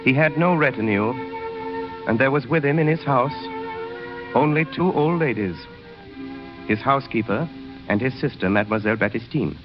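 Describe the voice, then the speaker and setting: Actor as grave 1930s radio drama narrator. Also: male voice, medium pitch, moderate pace, long pauses, close to an everyday voice. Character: grave storyteller of a classic French novel. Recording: muffled 1930s radio recording, music behind.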